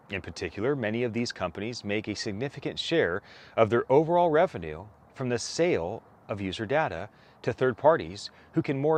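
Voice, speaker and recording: Man reading aloud with lively pitch swings. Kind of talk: read-aloud scripted narration.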